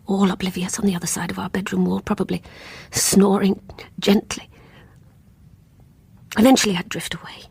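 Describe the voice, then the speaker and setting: An actress playing an anxious, guilt-ridden younger British woman in a radio play, confiding in a hushed, hesitant, breathy voice. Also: medium pitch. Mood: haunted, hushed. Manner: tense, hesitant, confessional. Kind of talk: radio play acting with intimate first-person narration.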